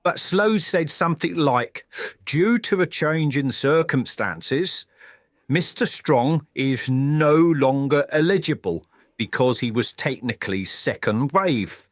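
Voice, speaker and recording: A man's voice: on the high side, lively intonation, slow pace, no echo, studio quality. A man playing a big, cheerful, forgetful cartoon character who rambles vaguely in a mock documentary interview for an audio drama. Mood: animated, recounting.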